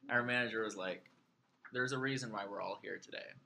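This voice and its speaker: A man's voice: lowish voice, a little fast, gravelly, pushed and bright, a little hiss or hum. A man in his twenties, a returning podcast guest, telling stories in a dry, matter-of-fact way. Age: 20s. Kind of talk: casual chat.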